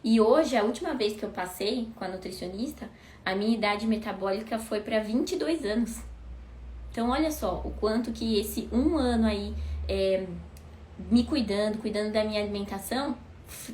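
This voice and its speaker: A woman's voice: on the high side, a little mellow. A woman in her thirties talking casually to viewers, asking herself questions and answering them. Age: 30s. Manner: conversational, asks rhetorical questions. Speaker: host. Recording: echoey room with faint noise.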